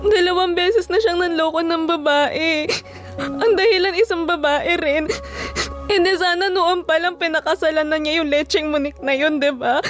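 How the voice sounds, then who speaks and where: A woman's voice: extremely high pitch, normal speed, soft background music. A woman with a Filipino accent acting a heartbroken young woman in an audio drama. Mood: heartbroken, tearful. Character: heartbroken young woman betrayed by her boyfriend.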